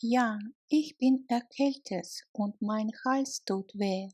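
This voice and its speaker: A woman narrating a language lesson, reading short scripted phrases deliberately and separating the words for learners. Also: fairly high pitch, darkish tone, no room sound, clean studio sound.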